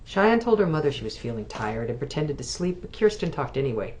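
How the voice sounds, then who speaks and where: A woman author reading literary fiction aloud, measured and expressive. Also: a bass voice. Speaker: author reading from own novel.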